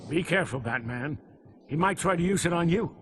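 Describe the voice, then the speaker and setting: An actor playing an earnest ally and informant to a hero in a video game, measured, serious and concerned. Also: male voice, a little high, expressive, a bit bright, a little hiss or hum. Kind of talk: video game dialogue acting.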